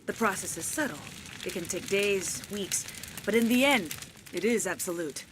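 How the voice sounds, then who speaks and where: A woman acting as a solemn alien woman in a video game cutscene. She speaks in formal, measured declarations with grave dignity. Faint ambient noise sits behind her voice. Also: medium pitch, animated, natural voice. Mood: solemn, regretful. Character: alien woman, cold imperious mouthpiece of an ancient plant, later freed and remorseful.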